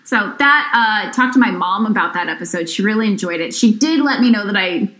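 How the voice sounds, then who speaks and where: Woman podcast cohost, bit scratchy w some vocal fry, laughs a lot, echoey room. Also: medium pitch, expressive, fairly quick, a bit bright. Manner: laughs often, swears casually. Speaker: podcast co-host. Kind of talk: casual podcast chat. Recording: roomy echo.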